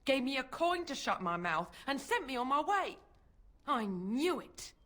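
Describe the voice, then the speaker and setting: A woman's voice: high-pitched, big pitch swings, measured pace, fairly bright tone, exaggerated voice. An actress playing a bitter, suspicious working-class mother in a video game, accusing and scornful in folksy, ungrammatical speech. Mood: angry, bitter.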